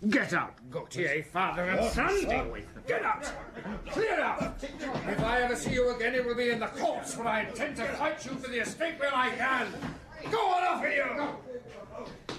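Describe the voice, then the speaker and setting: An actor playing a furious, imperious young aristocrat in radio drama, shouting. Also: male voice, extremely high pitch, lively intonation, measured pace. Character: furious young male aristocrat banishing his enemies. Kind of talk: British radio drama acting. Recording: echoey room with crowd noise.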